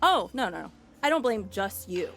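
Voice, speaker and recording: A woman's voice: mid-range voice, sing-song, moderate pace, creaky, a bit bright. An actress playing a frazzled, broke young woman with an exasperated, sarcastic manner in a comedy audio drama. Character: frazzled young woman, broke, blunt, fired from her job.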